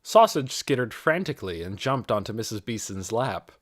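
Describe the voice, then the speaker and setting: Expressive man reading an audiobook. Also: fairly low pitch, normal speed. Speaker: audiobook reader. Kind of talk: scripted storytelling narration.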